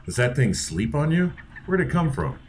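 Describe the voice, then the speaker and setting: A man playing a character in a tabletop game, chatting and reacting with lively swings in his voice. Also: a little high, normal speed, slight background noise. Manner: lively, jumps into excited yelps. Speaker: player.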